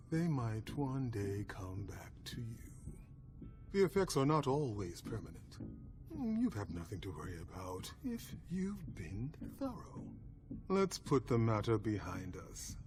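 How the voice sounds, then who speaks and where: An actor playing a suave, formal vampire clan leader in a video game, with courtly, measured politeness and quiet menace. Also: male voice, medium pitch. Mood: polite menace. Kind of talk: dramatic video game acting.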